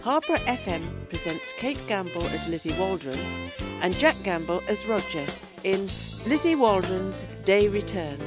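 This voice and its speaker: Female announcer to introduce a radio play, formal and scripted, animated pitch over prominent music. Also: normal speed.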